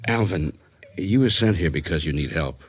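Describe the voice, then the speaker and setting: An actor playing a composed male psychiatrist who narrates in a measured, clinical manner for a radio drama. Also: deep voice, expressive, breathy edge, no echo. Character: composed male psychiatrist recounting a strange case.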